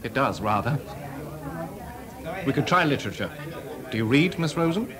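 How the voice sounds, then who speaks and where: Actor playing a wry young composer at a party. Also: male voice, mid-range voice, sing-song, normal speed, natural voice. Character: composer Delius, young man, wry and witty. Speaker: lead actor. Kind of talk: 1980s radio drama. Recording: party crowd behind.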